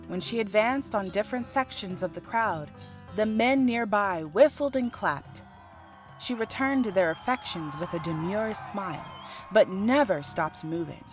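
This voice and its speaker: A woman storyteller reading scripted fantasy narration in a measured, expressive way. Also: fairly low pitch. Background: music.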